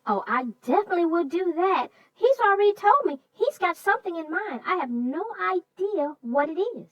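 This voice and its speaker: Eager, agreeable kids' show sidekick, played by a woman: extremely high, lively pitch, dull dry recording. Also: moderate pace, no echo. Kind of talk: scripted banter introducing a story.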